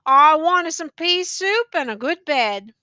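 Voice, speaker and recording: Lady putting on a tattered old soldier guy, folksy country talk, pitched up high and loud, crisp bright tone. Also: expressive, normal speed, dry recording. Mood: musing. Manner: rural dialect, drawling and musing. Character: weary ragged male soldier, folksy and joking.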